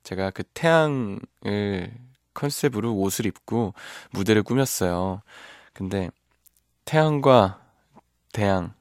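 A man's voice: fairly low pitch, takes it slowly, no echo, studio quality. Chatty male radio DJ. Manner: relaxed, chatty, self-deprecating. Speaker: radio DJ and ballad singer. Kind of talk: live radio hosting, reading listener messages. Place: radio studio. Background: faint guitar music.

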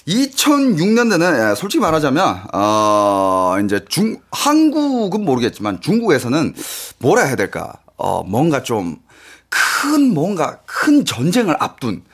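A man in his thirties, a regular radio guest, talks in a halting way full of filler words. His voice is husky and a bit high, with a lively pitch and soft music under it. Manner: hesitant, heavy filler words, laughing. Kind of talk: casual radio chat.

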